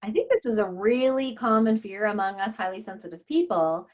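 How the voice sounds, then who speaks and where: A woman's voice: mid-range voice, brisk, highs cut off. A woman whose first language is Chinese, giving a casual interview as a guest in a chatty, digressive way. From Canada. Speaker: interview guest, physician and author.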